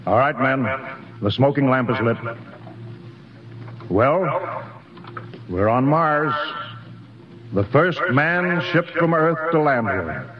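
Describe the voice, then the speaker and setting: Commanding spaceship captain, muffled old radio. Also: male voice, mid-range voice, expressive, slow pace, long pauses, a crowd in the background. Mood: solemn, resolute. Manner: authoritative, clipped military orders. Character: commanding male spaceship captain, steady and resolute. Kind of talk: 1950s radio drama acting. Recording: muffled vintage radio broadcast, busy background sound.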